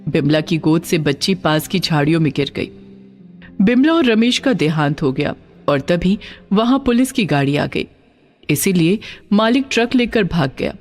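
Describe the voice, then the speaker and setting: A woman reading a scripted story as narrator, with a dramatic, expressive delivery. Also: lowish voice, moderate pace, faint music behind.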